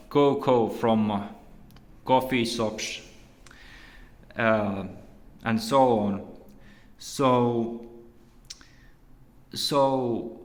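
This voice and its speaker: A man from Finland, a native Finnish speaker with a Finnish accent, giving a casual, unscripted review in a hesitant, halting and repetitive way. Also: mid-range voice. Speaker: video reviewer. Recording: echoey room with long reverb.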